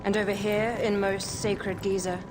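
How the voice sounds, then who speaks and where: A regal exiled queen, voiced by a woman, speaks with languid authority and a teasing edge. Steady background noise sits under her voice. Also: medium pitch, moderate pace, natural voice. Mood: commanding. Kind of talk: video game cutscene acting. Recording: game audio with clear background noise.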